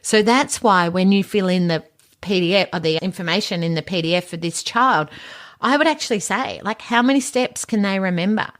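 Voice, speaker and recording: Female education podcast host, mid-pitched voice. Informal, emphatic explainer who poses rhetorical questions as she teaches. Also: fairly quick.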